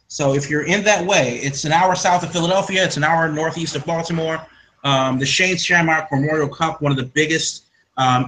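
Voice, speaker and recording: Bright-voiced man hosting a wrestling podcast. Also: fairly high pitch, a little hiss or hum, rough recording. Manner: rambling, many fillers and restarts. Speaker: podcast host. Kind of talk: casual wrestling news chat.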